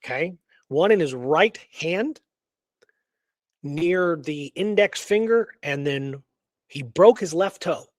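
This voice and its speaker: A man hosting a talk show, discussing history in an animated, informal, conversational way. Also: on the high side, slow pace, long pauses, dry recording, clean studio sound. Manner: animated, informal, peppers talk with "you know what I mean". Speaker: show host. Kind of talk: casual history discussion and storytelling.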